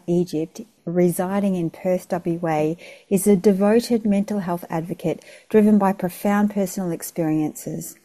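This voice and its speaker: A woman hosting a podcast interview, reading a guest bio in a measured way before chatting. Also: lowish voice, warm tone. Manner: measured, reads deliberately.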